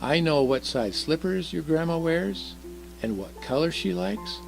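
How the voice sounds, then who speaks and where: Man as a kindly old Christmas gift-bringer, gravelly creak, lively intonation, genial, reassuring, storybook telling. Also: a little high, a little slow, over music, pitched above the performer's own voice, rough recording. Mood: genial, knowing.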